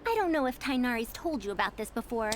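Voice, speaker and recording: A woman's voice: on the high side, very animated, fast, slight background noise. A woman voicing a haughty senior female scholar in a video game, prim and self-important, with lofty phrasing.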